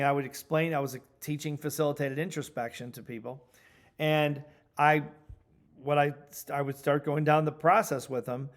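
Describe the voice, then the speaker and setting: Middle-aged man, somewhat high voice, coaching trainer, instructional talk, deliberate emphatic delivery. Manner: emphatic, deliberate, repeats key points for stress.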